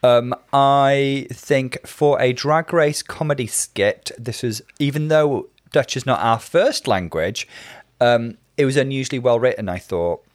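A man co-hosting a casual review show, measured with wry understatement. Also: mid-range voice, no room sound, studio quality. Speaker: podcast co-host reviewing a drag competition. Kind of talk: casual chat.

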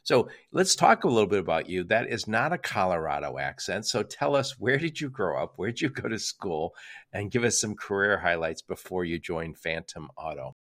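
A man's voice: low voice, big pitch swings, normal speed, a bit bright. An older American man hosting a casual interview, talking in a chatty, digressive way. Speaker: podcast host.

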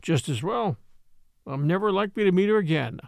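A woman as a sardonic, clipped English gentleman. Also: on the low side, expressive, fairly quick, creaky, dry recording. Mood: dry, reflective. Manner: clipped, dry, mocking. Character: bitter, sardonic English gentleman, charming reckless spendthrift.

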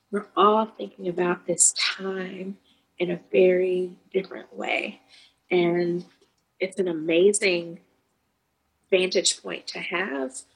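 Slow, husky-voiced woman counselor. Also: medium pitch, rough recording. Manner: thoughtful, searching, with restarts and fillers. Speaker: grief counselor for teens. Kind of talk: reflective interview answer.

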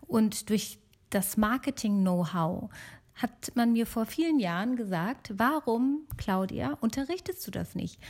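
A middle-aged woman from Germany talking casually and alone as a podcast host. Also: fairly high pitch.

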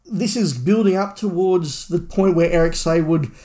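A man's voice: sits high, normal speed, warm tone. Man chatting through a book review. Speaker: podcast co-host. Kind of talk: casual book review discussion.

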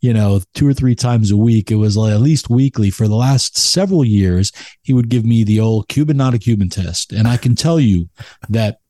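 A man's voice: on the low side, moderate pace, mellow, no echo, studio quality. A man speaking as an expert guest in a casual chat, emphatic and opinionated about his craft. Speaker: expert podcast guest, cigar blender.

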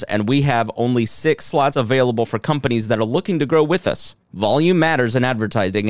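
A man's voice: medium pitch, no room sound, clean studio sound. A middle-aged man hosting a podcast, reading promos and announcements. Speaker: podcast host.

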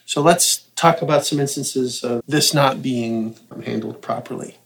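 Pria pembawa podcast, gaya santai. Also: male voice, medium pitch, measured pace, gravelly. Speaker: podcast host. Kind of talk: casual podcast chat. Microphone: podcast mic.

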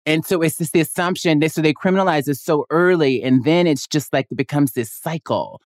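A woman hosting an interview, telling stories in an animated way and slipping into the voices of the people she quotes. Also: low-pitched, fairly quick, dry recording, clean studio sound. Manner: animated storyteller who acts out quoted voices. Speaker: podcast host. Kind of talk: casual interview chat.

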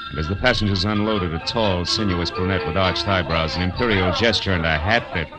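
A man's voice: sits low, brisk, over loud music, lightly acted. A man playing a wry, hard-boiled private detective with sardonic slang, in 1940s radio noir style. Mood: dry, rueful. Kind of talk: 1940s radio acting, first-person noir narration. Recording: old radio broadcast recording.

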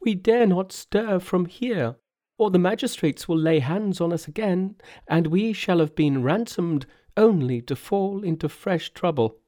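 A man's voice: high-pitched, expressive, a little slow, mellow, dry recording, clean studio sound, voice pushed up. A man voicing anxious, reverent Buddhist priests in a storybook, pleading and deferential. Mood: fearful, pleading. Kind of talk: storybook character voice.

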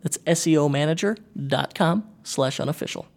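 An upbeat male podcast host with a somewhat high voice. He reads sponsor spots and chats with a guest in a lively, energetic way. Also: normal speed, gravelly, faint music behind. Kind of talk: read ad spots and casual interview.

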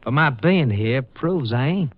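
An actor playing a smug, folksy blackmailer who drawls mock-friendly threats in a 1950s radio drama. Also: male voice, mid-range voice, expressive, unhurried. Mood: smug, menacing. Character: folksy, sly male blackmailer, smugly threatening. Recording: dull vintage radio recording.

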